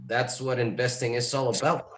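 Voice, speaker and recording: An impatient dealmaker acted by a man with a mid-pitched voice, reading from a script. He is curt, casual and pushy, and the room echoes around him. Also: steady tone, normal speed, natural voice. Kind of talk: screenplay table read.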